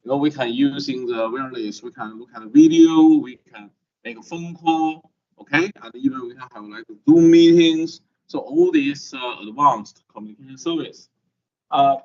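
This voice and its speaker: A middle-aged man lecturing a class, with a halting manner and constant "okay?" checks. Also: on the high side. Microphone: video call. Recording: dull lo-fi video call audio.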